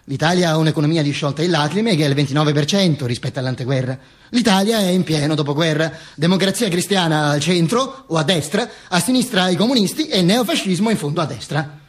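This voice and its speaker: An actor playing a vain, ranting, self-mocking dead dictator in an emphatic, declamatory theatrical radio monologue. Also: male voice, a little high, fast talker, breathy edge. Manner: emphatic, declamatory, with insistent repetitions and interjections. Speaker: stage actor performing own monologue.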